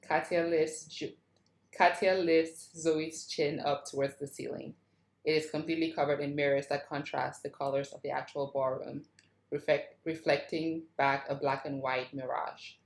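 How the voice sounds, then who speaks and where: A woman's voice: low-pitched, steady tone, normal speed. A woman narrator reading screenplay stage directions aloud. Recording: roomy, echoing sound.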